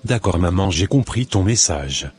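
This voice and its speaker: A man playing an earnest young man, low-voiced. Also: expressive, normal speed, a little mellow, husky, soft background music. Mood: smitten, earnest. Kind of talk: scripted cartoon dubbing.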